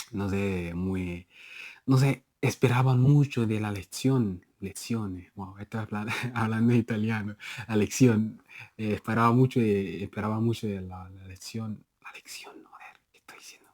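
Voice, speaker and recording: A man's voice: lowish voice, steady tone, a little slow, a little mellow, no room sound, studio quality. A young Nigerian man talking casually in a language-learning vlog, restarting and correcting himself and laughing at his own slips. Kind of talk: casual self-recorded vlog.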